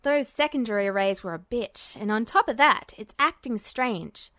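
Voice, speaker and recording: A woman's voice: on the high side, expressive, normal speed, sharp tone, no room sound. A woman plays a blunt teenage boy from an audio story. He grumbles and retorts in casual slang with a sarcastic edge, in a close, dry recording. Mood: grumbling, sarcastic.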